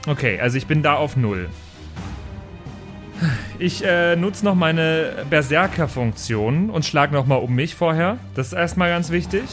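Higher-voiced man playing a tabletop game. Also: animated, measured pace, a bit bright, faint music behind. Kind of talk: casual, improvised game-table chat.

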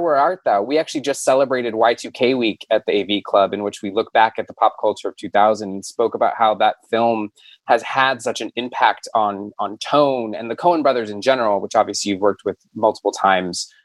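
Low-voiced male interviewer. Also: fairly quick, dry recording. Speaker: interviewer host. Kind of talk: casual interview questions.

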